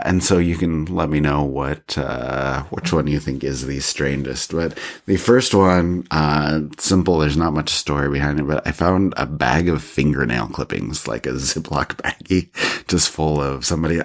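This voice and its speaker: A man hosting a podcast, talking casually on his own with a congested, stuffed-up voice. He speaks in an easygoing, chatty way with filler words and light laughs. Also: a bass voice. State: congested with a cough. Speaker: podcast host. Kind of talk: casual solo podcast talk.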